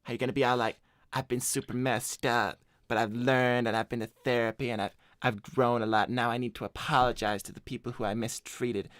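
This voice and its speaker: A man's voice: mid-range voice, little pitch movement, fairly quick, fairly bright tone, no echo, clean studio sound, lightly acted. A man voicing a cocky, broke hedgehog slacker in an improvised cartoon livestream, casual, blunt and self-assured. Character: cocky, broke male hedgehog slacker giving shady advice. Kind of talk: improvised in-character livestream chat.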